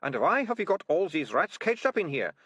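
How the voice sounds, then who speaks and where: A man voicing a bossy, sharp-tongued young girl who snaps at others. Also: high voice, huge pitch swings, slow, breathy edge, no echo, pitched above the performer's own voice, full character voice. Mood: accusing. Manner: haughty, snapping.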